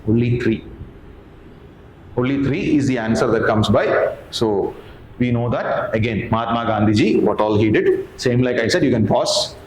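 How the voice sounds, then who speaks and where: A man's voice: mid-range voice, moderate pace. An Indian-accented man lecturing as an exam coaching teacher, emphatic, repeating phrases and asking questions that he answers himself. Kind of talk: explanatory lecture to camera. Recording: echoey room with background noise.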